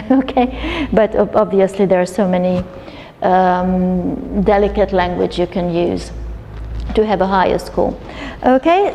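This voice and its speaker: A woman's voice: on the high side, dark tone, slight background noise. A woman, an educational consultant presenting a coursebook to teachers, explaining with frequent restarts and fillers. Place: lecture hall at a university. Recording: long hall reverb.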